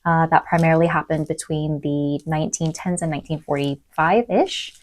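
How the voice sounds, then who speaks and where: A young adult woman lecturer who grew up in East Africa, speaking thoughtfully in a podcast interview with frequent pauses and "um"s. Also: low-pitched. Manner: thoughtful, soft-spoken, many "um"s. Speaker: university lecturer.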